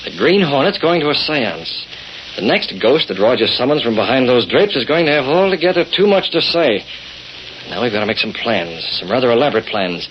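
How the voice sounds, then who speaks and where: An actor playing a decisive newspaper publisher who is secretly a masked crime fighter, brisk and confident in old radio-drama style. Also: male voice, mid-range voice, sing-song, close to an everyday voice. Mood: confident, scheming. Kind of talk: 1930s-40s radio acting. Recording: muffled, noisy old radio recording.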